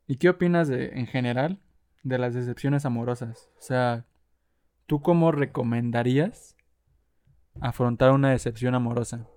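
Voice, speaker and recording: A young man with a Mexican Spanish accent keeps a casual chat going. He jokes, laughs often and steers the talk with questions. His pitch is an ordinary middle range. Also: moderate pace, a little mellow. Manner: joking, asks questions, laughs a lot.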